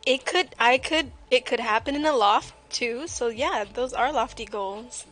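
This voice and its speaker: Playful higher-voiced woman over music. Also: unhurried, fairly bright tone. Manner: playful, jokey, self-deprecating. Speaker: livestream host, artist. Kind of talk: casual livestream chat while drawing. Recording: music playing behind the voice.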